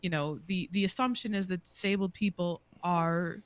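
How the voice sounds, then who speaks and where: A woman's voice: lowish voice, steady tone, moderate pace, dry recording. A woman hosting a casual streamed panel chat, talking with passion and plenty of fillers as she makes her points. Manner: impassioned, rambling with fillers. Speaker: panel host.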